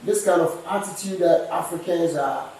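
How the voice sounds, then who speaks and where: A man's voice: fairly high pitch, moderate pace, darkish tone, slight background noise. Distant man in echoey kitchen. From Ghana. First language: Ghanaian language. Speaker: cooking competitor. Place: rental apartment kitchen.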